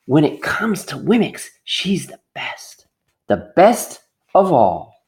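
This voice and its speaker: A man's voice: a little high, wildly swooping pitch, slow, a little mellow, heavily acted. A man voicing a gushing, self-important mayor's wife in a storybook. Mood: reverent.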